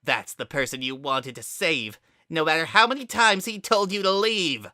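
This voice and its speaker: A man's voice: a little high, normal speed, pushed and bright, dry recording, clean studio sound, pitched above the performer's own voice. A man voicing a menacing young demonic villain with a theatrical, sneering and coldly formal manner in a storybook reading. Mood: mocking, bitter.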